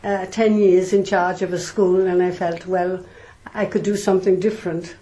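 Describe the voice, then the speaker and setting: An older woman from South Wales speaks, her voice sitting low and mellow. She answers in a measured, reflective way, pausing often to gather her thoughts. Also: flat delivery, rough recording. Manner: measured, thoughtful, with frequent pauses and ums. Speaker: nun, interview guest.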